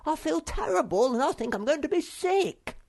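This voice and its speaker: A man's voice: extremely high pitch, sing-song, normal speed, creaky, darkish tone, slight background noise, voice pushed up, heavily acted. A man voicing Sniff, a small whiny male creature in a storybook, peevish and smug. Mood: whining.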